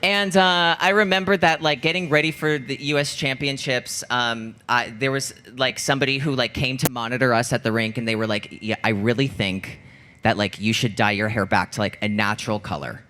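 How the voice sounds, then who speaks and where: A man's voice: mid-range voice, steady tone, a little fast, bright, forceful tone. American guy in his 30s, casual live podcast storytelling, says like a lot, bit of noise in the audio. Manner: conversational, peppered with "like". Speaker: podcast co-host and former competitive figure skater. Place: live podcast show before an audience. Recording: noisy live-show audio.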